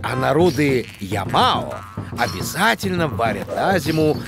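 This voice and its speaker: A man voicing a kindly cartoon animal who explains things in an expressive, educational storytelling manner. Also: medium pitch, measured pace, creaky, music in the background. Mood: explaining.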